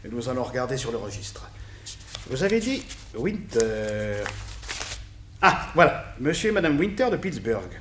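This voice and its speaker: An actor playing a courteous, fussy, easily flustered hotel manager in a French radio detective drama. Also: male voice, medium pitch, big pitch swings, unhurried, long pauses, echoey room, noisy background.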